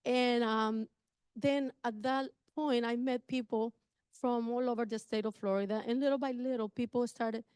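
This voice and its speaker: Middle aged costa rican lady, clear voice, dry sound, informal guest speech. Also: fairly high pitch, fairly even intonation, moderate pace, a little mellow, no room sound. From Costa Rica. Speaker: guest speaker, real estate agent. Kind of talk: informal personal introduction to an audience.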